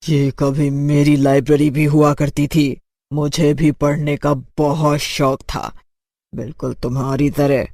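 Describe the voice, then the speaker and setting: A man's voice: on the high side, flat delivery, slow, a little mellow, no echo, studio quality. An actor playing the menacing, bitter ghost of a wronged schoolgirl in a cartoon horror story. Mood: bitter, mournful.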